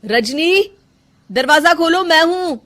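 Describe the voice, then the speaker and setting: A woman voicing an earnest, concerned young husband who questions insistently, in an animated moral story. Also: extremely high pitch, slow, pitched above the performer's own voice, full character voice.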